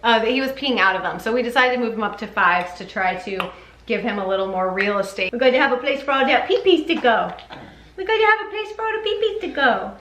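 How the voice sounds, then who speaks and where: A woman with a fairly high voice talks casually, as a mother updating her vlog audience. Her delivery is chatty and conversational. The room adds noticeable echo, with faint noise behind her. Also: animated, fast, a bit bright. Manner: chatty, often breaks into sing-song baby talk. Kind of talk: casual chat to camera. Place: indoor room at home with ceiling fan.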